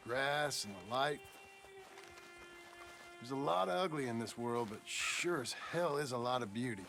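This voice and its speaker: A frontier rancher father for a Western video game. His gravelly American Western voice moves expressively, folksy and halting. Underneath the gruffness he is tender. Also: medium pitch, expressive, fairly bright tone, faint music behind. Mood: reflective. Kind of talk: naturalistic video game acting.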